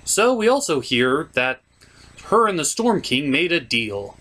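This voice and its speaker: A man's voice: on the high side, sing-song, unhurried, fairly bright tone. A man hosting a comedic film review, giving opinionated and digressive commentary. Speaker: host, puppeteer and film reviewer.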